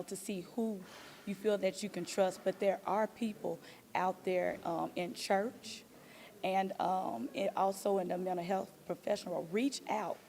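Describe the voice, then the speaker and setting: A woman speaks as an interviewed stage actor, reflective and earnest. Her mid-range voice carries clear vocal fry as she offers encouragement. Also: medium pitch, a little mellow, a little hiss or hum.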